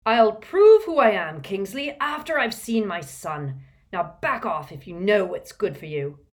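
A woman voicing a furious, protective middle-aged father in a storybook, forceful and threatening, shouting. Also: medium pitch, lively intonation, measured pace, pitched above the performer's own voice, close to an everyday voice.

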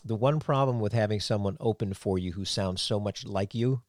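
A man's voice: lowish voice, moderate pace, dry recording, studio quality. A man hosting an interview, talking at length in long run-on thoughts and complimenting his guest. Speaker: podcast host, former sound engineer. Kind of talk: casual interview chat.

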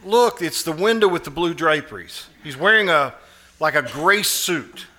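A man's voice: a little high, lively intonation, normal speed, sharp tone, a little hiss or hum. A man playing an earnest, anxious, nosy neighbour, hesitant but insistent, in a live old-time radio suspense play. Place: live performance at a winery.

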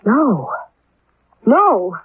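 An actress playing a guarded, nervy femme fatale in a 1950s radio drama. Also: female voice, fairly high pitch, very animated, drawn-out words, slight background noise, heavily acted. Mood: panicked. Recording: tinny, narrow 1950s radio recording.

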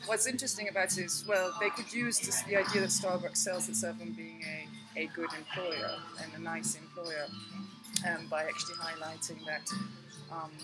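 Thoughtful lower-voiced woman professor. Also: level tone, loud music behind the voice, low-quality recording. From Denmark. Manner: thoughtful, measured, many fillers. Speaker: professor, guest. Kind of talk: podcast interview. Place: tea room.

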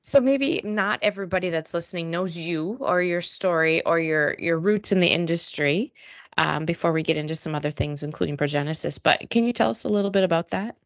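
A woman's voice: on the low side, fairly quick, dry recording, studio quality. A woman hosting a company podcast, introducing a guest and asking friendly conversational questions. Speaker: podcast host.

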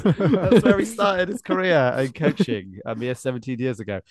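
A man's voice: fairly high pitch, normal speed, no echo. A man hosting a casual, jokey sports chat and quiz, often laughing through his sentences. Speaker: podcast host and quizmaster.

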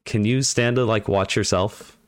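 A man hosting a casual interview, chatty and upbeat with quick reactions. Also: fairly low pitch, fairly even intonation, clean tone, no room sound, studio quality. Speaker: podcast host.

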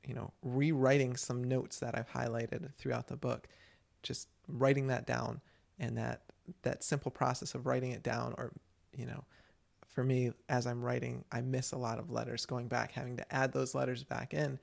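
Male podcast co-host, gravelly mid-pitched voice, loose rambling chat. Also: little pitch movement, normal speed. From United States. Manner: chatty, rambling with many restarts. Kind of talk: casual chat.

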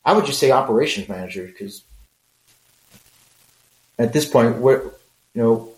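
A man's voice: mid-range voice, expressive, moderate pace, reverberant, rough recording. A middle-aged man with a Mexican accent, talking frankly and conversationally in a solo podcast, with rambling asides. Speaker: podcast host.

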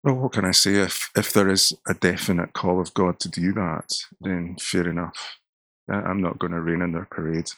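A man's voice: deep voice, fairly even intonation, moderate pace, a bit bright, no room sound. A middle-aged Scottish man, a pastor, talking earnestly in an interview and often repeating words as he starts a sentence. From Scotland. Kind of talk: conversational interview.

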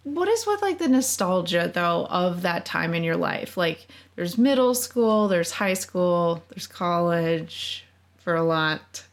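A woman in her thirties co-hosting a podcast, chatty and teasing, quick to laugh. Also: lowish voice, sing-song. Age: 30s. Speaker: podcast co-host. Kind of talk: casual chat.

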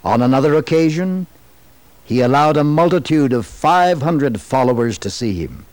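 A man narrating a dramatized 1960s religious radio program in a solemn, measured, theatrical storytelling manner. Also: mid-range voice, expressive, darkish tone, no room sound, rough recording.

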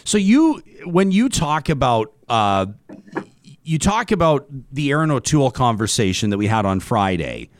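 A man hosting a conversational interview, feeling his way through his questions in a halting manner. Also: mid-range voice, no room sound, clean studio sound. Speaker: talk show host.

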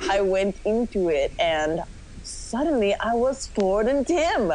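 A woman's voice: mid-range voice, lively intonation, slow pace, darkish tone, low-quality recording. A woman giving a comic dramatic reading of fan fiction, often giggly as she goes. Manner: giggly, often breaking into laughter. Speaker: podcast host doing a dramatic reading.

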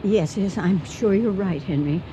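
An actress playing a trusting, devout farm wife in a radio drama, earnest, expressive and exclamatory. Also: female voice, mid-range voice, unhurried, lightly acted. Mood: excitable. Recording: noisy old radio drama recording.

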